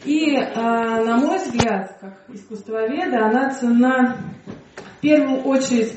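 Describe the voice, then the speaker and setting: A woman art historian giving a measured, formal introduction to a speaker. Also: a little high, a little mellow, highs cut off. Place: museum hall. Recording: echoey hall, from far off.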